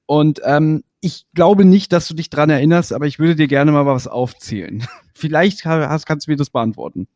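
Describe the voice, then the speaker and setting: A man's voice: on the high side, moderate pace, a little mellow, dry recording, clean studio sound. A man hosting a podcast, asking chatty, detailed fan questions in a casual interview. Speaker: podcast host.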